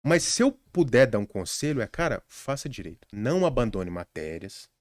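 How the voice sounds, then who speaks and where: A man's voice: medium pitch, big pitch swings, normal speed, no echo, studio quality. A young adult man with a Brazilian accent, a podcast guest earnestly sharing study advice in casual conversation, with frequent restarts. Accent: Brazilian Portuguese.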